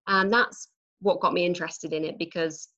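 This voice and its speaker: An English woman with a Norwich accent, in her forties, talks through her work in an easy, chatty way, filling pauses with ums. Her voice sits a little low. The audio is dull and lo-fi, like a remote call. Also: steady tone. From England. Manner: warm chatty explaining, frequent ums. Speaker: recruitment manager, guest. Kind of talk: conversational podcast interview.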